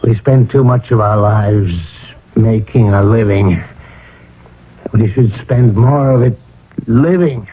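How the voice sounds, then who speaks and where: An actor playing a weary, guilt-ridden businessman father in a radio drama, halting and rueful. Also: lowish voice, husky. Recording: muffled old radio broadcast.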